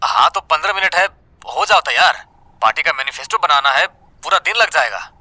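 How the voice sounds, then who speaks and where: An actor playing a brisk, chummy young friend in an audio drama, with a familiar manner. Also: male voice, on the high side, animated, sharp tone, dry recording, exaggerated voice. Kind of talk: Hindi audio drama acting.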